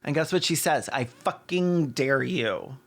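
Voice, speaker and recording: A man's voice: fairly high pitch, expressive, a little slow, gravelly. A man hosting a video essay with snarky, mocking, sometimes sweary scripted commentary and asides.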